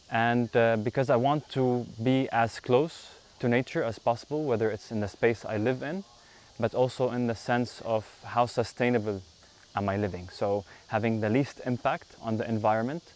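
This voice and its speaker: A man in his thirties from Lebanon, a yoga teacher, answering interview questions outdoors on a lapel mic in an unhurried, thoughtful way with frequent fillers. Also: on the low side. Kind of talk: casual interview. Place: outdoors in a bamboo grove.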